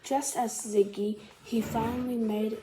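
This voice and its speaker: A woman reading a children's biography aloud like a storybook, carefully and a little haltingly. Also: on the high side, little pitch movement, noisy background. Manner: careful, halting reading with stumbles and self-corrections. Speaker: storybook reader.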